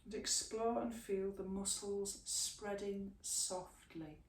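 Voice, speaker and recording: A woman teaching a yoga class, giving slow, soothing guidance through stretches and a guided relaxation. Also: medium pitch, steady tone. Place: room, recorded at a distance from the camera mic. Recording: echoey room, mic at a distance, faint hiss.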